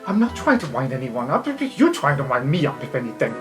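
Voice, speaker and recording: A woman's voice: extremely low pitch, big pitch swings, fast talker, music in the background, pitched below the performer's own voice. A woman playing a sly, sardonic, wheedling noble sister in an improvised tabletop game. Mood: probing, defensive. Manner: wheedling, dryly sarcastic.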